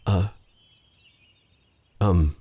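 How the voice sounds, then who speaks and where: A man playing a brooding, remorseful dark sorcerer in an intimate fantasy ASMR roleplay, speaking formally and haltingly to the listener in a husky, breathy voice. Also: sits low, no room sound, heavily acted. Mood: tender, hesitant. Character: brooding, remorseful dark sorcerer, bitter yet tender captor.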